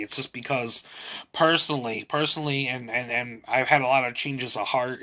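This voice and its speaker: Bright-voiced man rambling to camera. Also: medium pitch. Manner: repeats and restarts words often, many fillers. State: nasal drip, clears throat. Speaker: vlogger. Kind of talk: rambling personal monologue.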